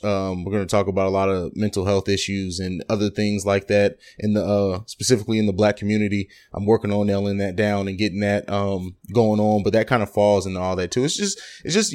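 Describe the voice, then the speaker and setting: A man hosting a podcast interview, casual and affirming, who often repeats "absolutely" and rambles through his thoughts. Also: fairly low pitch. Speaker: podcast host.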